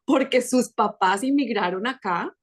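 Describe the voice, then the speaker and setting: A Colombian-accented woman speaking as a guest on a parenting podcast. Her voice sits on the high side, and she talks in an animated, chatty way, restarting phrases as she goes. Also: slow pace. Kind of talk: casual conversational interview.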